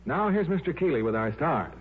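A man reading scripted advertising as a 1950s radio announcer, with a husky voice. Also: a little high, big pitch swings, brisk. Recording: narrow old radio broadcast.

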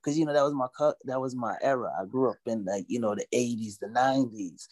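A man in his forties from Jamaica, raised in Brooklyn, chatting as an interview guest, relaxed and full of "you know.". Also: mid-range voice, quick pace, no echo. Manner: relaxed, repeats himself, many "you know"s. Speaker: guest musician. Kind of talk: casual interview talk.

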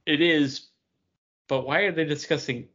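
A man's voice: on the high side, steady tone, a little slow, a bit bright, highs cut off. A man casually talking through a story as a podcast co-host. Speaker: comic book podcast co-host. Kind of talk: casual book discussion.

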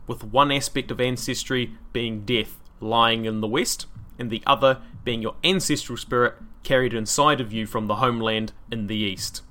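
Bright-voiced New Zealand man narrating history. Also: medium pitch. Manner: dry asides and wry humour. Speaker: podcast host.

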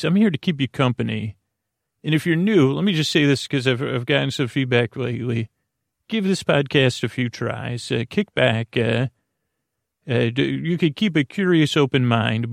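A man hosting a sleep podcast in a creaky, lulling voice, rambling gently and haltingly with self-deprecating tangents. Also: medium pitch, no room sound, clean studio sound. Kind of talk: rambling, soothing bedtime monologue.

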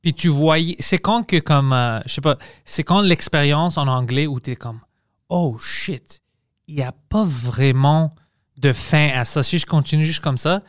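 A man hosts a casual podcast chat in French that he speaks as a second language, his strong accent plain to hear. His voice sits fairly high for a man, and the sound is dry and close to the mic. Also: measured pace, dry recording. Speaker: podcast host and comedian.

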